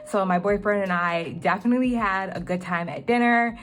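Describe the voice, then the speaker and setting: A woman's voice: mid-range voice, fairly even intonation, fairly quick. A young woman talking through her monthly budget in a casual vlog, chatty and full of ums, her voice a little cracked. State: slightly cracking voice. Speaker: budgeting vlogger.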